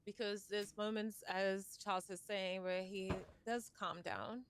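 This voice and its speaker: A woman playing a measured discussion leader in a fantasy realm, feeling out her words and probing with questions in live online roleplay. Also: mid-range voice, fairly even intonation, close to an everyday voice. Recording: clearly noisy mic with clicks.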